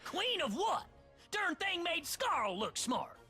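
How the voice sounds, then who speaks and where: A bright, piercing little redneck creature, cantankerous and crazy, with a scratchy Southern US drawl. The delivery is rowdy and swoops around like a video game cartoon, with music underneath. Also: male voice, extremely high pitch, sing-song, slow, heavily acted. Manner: rowdy, cantankerous, folksy, often shouting. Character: crazy belligerent little male yordle, redneck old grump. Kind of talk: video game character voice lines.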